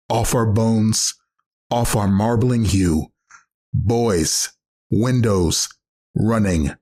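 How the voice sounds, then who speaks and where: A man giving a dramatic poetry recitation with measured, deliberate phrasing in short lines. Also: lowish voice, a little mellow. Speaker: poetry reader.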